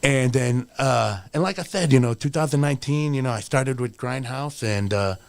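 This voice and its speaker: A man's voice: mid-range voice, moderate pace, gravelly, no room sound. A man in his forties, a wrestler guest on a podcast, talking in an earnest, motivational way with lots of "you know". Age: 40s. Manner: earnest, motivational, rambling with many fillers. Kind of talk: casual podcast interview.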